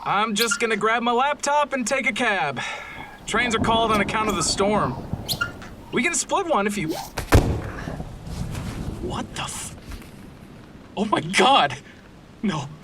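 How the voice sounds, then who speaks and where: A man's voice: very high voice, bright, forceful tone, a little hiss or hum, full character voice. A man playing a frightened, deferential young student in a horror audio drama, with a nervous, hesitant way of talking. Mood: puzzled.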